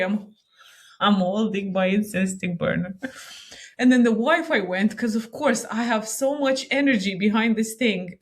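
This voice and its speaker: A woman hosting a casual interview chat, bubbly and quick to laugh. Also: medium pitch. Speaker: podcast host.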